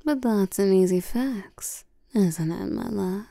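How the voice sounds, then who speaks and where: Casting: actress as domineering vampire queen for intimate audio roleplay; unhurried, mellow, breathy delivery, teasing and condescending toward humans. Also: mid-range voice, dry recording. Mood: seductive, hypnotic. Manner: slow, teasing, domineering, with terms of endearment.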